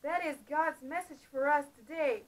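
A middle-aged woman narrating a Bible-themed adventure story to camera in a small room, earnest and a little halting. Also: high voice, steady tone. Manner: earnest, slightly halting phrasing with uneven emphasis. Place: small indoor room before a painted backdrop. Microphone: camera close up in front of her.

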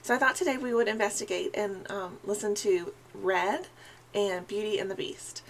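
A woman's voice: medium pitch, fairly even intonation, moderate pace. A young woman with a scratchy, slightly nasal voice from a sinus infection chats casually to camera in a small bedroom, saying um often. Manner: chatty, friendly, frequent ums. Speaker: music reaction vlogger. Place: small decorated bedroom, indoors.

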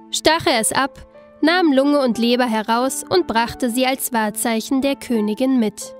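A woman narrating a scripted fairy tale in an expressive, storybook manner. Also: on the high side. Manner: expressive, unhurried storybook telling.

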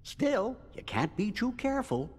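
Little cartoon cricket, fussy, courteous, careful, husky, very animated pitch, hall reverb. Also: male voice, unhurried, heavily acted. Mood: worried. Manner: fretful, careful. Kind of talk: cartoon character acting in video game.

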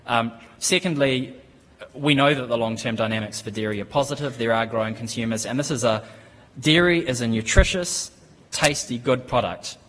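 A man in his thirties with a New Zealand accent gives a conference presentation at a lectern, speaking in a measured, steady way with frequent ums and restarts. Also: mid-range voice. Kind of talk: business presentation. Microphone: lectern microphone. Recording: conference hall with long reverb.

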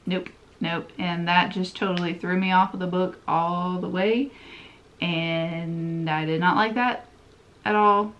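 Woman, 30s, stuffy nose, lower pitch, chatty emphatic book reviewer talking to a desk camera at home. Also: fairly bright tone. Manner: chatty and emphatic, with frequent repetitions. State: stuffy, congested. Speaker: book vlogger. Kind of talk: casual book review chat. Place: home room lined with bookshelves. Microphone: camera in front at desk distance.

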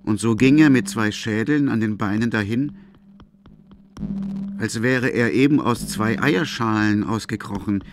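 Low-voiced man narrating a radio play. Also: measured pace.

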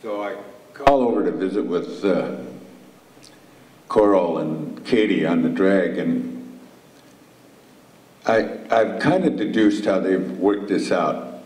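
Slow, low-voiced middle-aged man. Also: darkish tone. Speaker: panel participant. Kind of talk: casual anecdote to an audience. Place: auditorium stage, recorded from audience distance. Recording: echoey hall from far off.

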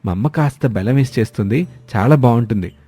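A man voicing a fond, encouraging young village-born husband in an animated moral story, over music. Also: mid-range voice, quick pace, breathy edge. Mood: cheerful.

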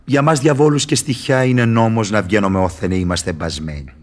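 An actor playing a sly, mocking, falsely humble devil in radio theatre, with husky, ironic, wheedling verse delivery. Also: male voice, lowish voice, natural voice.

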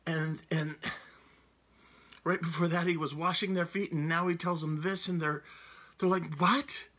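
A man's voice: fairly high pitch, fairly even intonation, measured pace, pushed and bright. A middle-aged man preaching a sermon from a room at home into a webcam, earnest and often restarting his words. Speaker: pastor. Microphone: webcam, seated close.

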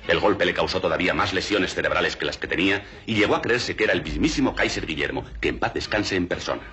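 An actor playing a solemn, mock-grave documentary narrator in a film comedy. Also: male voice, low-pitched, animated, fast, sharp tone, faint music behind.

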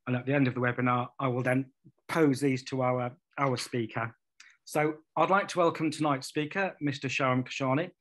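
A man's voice: mid-range voice, fairly even intonation, brisk, a bit bright. A man hosting a webinar, going through the housekeeping notes in a plain, methodical way over a computer microphone. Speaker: webinar host. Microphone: computer mic on a video call.

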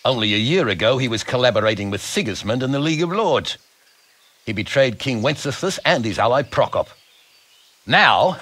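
A man's voice: mid-range voice, a bit bright, husky. A man playing a burly middle-aged medieval lord, blunt and sardonic, in a dramatic game cutscene.

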